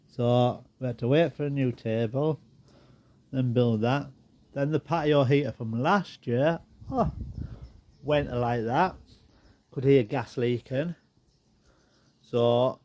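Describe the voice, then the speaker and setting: A man's voice: mid-range voice, takes it slowly, pauses often, warm tone. A man with a bit of a blocked nose, chatting casually and rambling wryly as he walks around his garden. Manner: chatty, rambling, wry asides. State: a bit bunged up. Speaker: garden vlogger. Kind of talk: casual walk-and-talk garden tour. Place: outdoors in a garden. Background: birds and animals.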